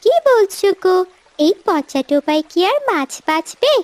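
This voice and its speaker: A woman's voice: very high voice, expressive, normal speed, dry recording. A woman voicing a playful, inquisitive little village girl in a cartoon family drama. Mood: surprised.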